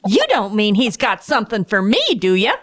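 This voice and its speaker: A woman playing a crusty but soft-hearted old maid aunt in a western radio play, with folksy, exclamatory speech. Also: mid-range voice, animated, brisk, natural voice. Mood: curious.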